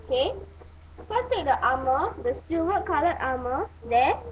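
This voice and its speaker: A woman giving halting, step-by-step instructions in a hands-on toy building tutorial. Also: sits high, expressive. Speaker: toy review host. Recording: muffled phone-like audio, clear background noise.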